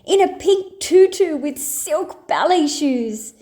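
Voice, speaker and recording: A woman's voice: high voice, lively intonation, takes it slowly, dark tone, voice pushed up. A woman voicing a dreamy, determined young female kangaroo with an earnest, wistful manner, in a children's storybook. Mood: wistful longing. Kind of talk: children's storybook read-aloud.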